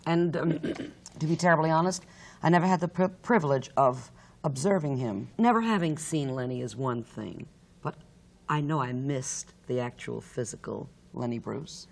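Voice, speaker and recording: A middle-aged stage actress answers questions as a talk show guest, earnest and animated. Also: female voice, a bass voice, normal speed, creaky.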